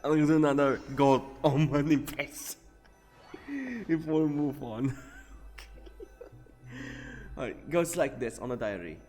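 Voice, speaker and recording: A man's voice: on the high side, lively intonation, takes it slowly, long pauses, a little mellow, in a large hall, faint music behind. A man streaming a game, chatting casually and laughing at his own jokes. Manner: giggly, joking. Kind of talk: casual gameplay commentary.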